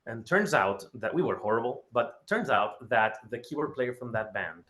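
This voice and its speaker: A man's voice: mid-range voice, lively intonation, normal speed, a bit bright. A man from Ecuador giving an enthusiastic webinar presentation over a video call, often repeating words for emphasis. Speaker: guest speaker.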